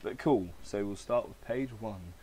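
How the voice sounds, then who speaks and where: A man's voice: fairly low pitch, unhurried, dark tone, slightly rough. A man hosting a podcast, chatting casually and reading aloud in an informal, hesitant manner, his throat slightly crackly. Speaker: podcast host.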